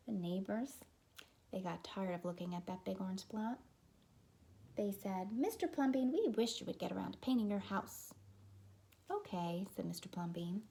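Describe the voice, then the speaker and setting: A woman's voice: medium pitch, gravelly, warm tone, husky. A woman reading a children's picture book aloud as a teacher, expressively, with asides to young listeners.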